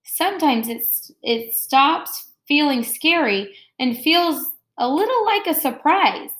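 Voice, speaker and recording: A woman voicing a gentle, encouraging father in a storybook, with a reassuring way of talking. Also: sits high, animated, slow, reverberant, exaggerated voice.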